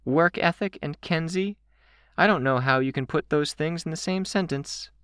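A man's voice: a little high, normal speed, a bit bright, clean tone, no room sound, clean studio sound, pitched above the performer's own voice, close to an everyday voice. A man voicing a candid, playful young girl with a wry, theatrical streak in an audiobook. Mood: teasing. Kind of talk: audiobook character voice.

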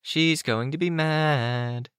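A man's voice: a little high, slow pace, a bit bright, clear, resonant tone, no echo, clean studio sound, voice pushed up. A man voicing a blunt, needling teenage girl who scolds and teases in an audiobook story. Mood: teasing. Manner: scolding, teasing.

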